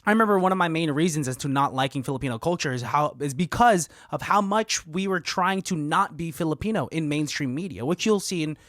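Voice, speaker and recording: A man's voice: on the high side, lively intonation, a little fast, hardly any pauses, fairly bright tone, no room sound, clean studio sound. A young man co-hosting a podcast, talking casually and candidly and laughing easily. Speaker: podcast co-host.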